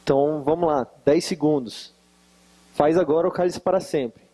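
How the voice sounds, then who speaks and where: High-voiced joking man from Minas Gerais. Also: normal speed, warm tone, rough recording. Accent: Brazilian Portuguese, Minas Gerais. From Varginha, Brazil. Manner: joking, teasing the audience. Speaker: guest preacher. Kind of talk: conference sermon with jokes. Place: conference hall with live audience.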